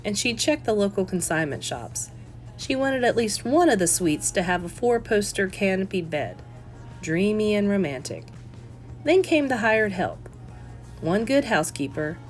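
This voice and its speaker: A woman reading a novel aloud as an audiobook, in an expressive storytelling style. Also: medium pitch. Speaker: audiobook reader.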